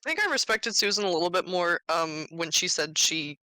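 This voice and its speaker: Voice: mid-range voice, expressive, fairly quick, bright, forceful tone, smooth, dry recording. A co-host on a casual book podcast, speaking in a critical, matter-of-fact way.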